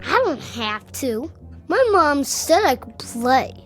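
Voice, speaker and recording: An actress playing a stubborn, whiny little child in a radio drama. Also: female voice, high voice, big pitch swings, takes it slowly, a bit bright, faint music behind, exaggerated voice.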